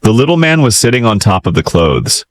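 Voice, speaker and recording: Animated male fairytale storyteller, lowish voice. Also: a little fast. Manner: expressive, theatrical. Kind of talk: dramatic children's fairytale narration.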